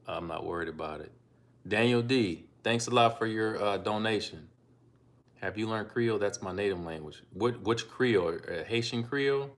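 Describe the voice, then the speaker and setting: A man from Ohio streaming live from his phone, talking in a laid-back, unhurried way with frequent ums and asides as he reads out donations and chats about learning languages. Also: lowish voice. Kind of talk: casual live-stream chat reading donations.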